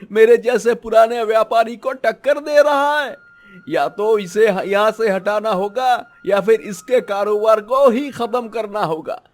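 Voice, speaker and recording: A man playing a bitter, scheming, envious vegetable seller in a cartoon story, with a grumbling, aggrieved manner. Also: high voice.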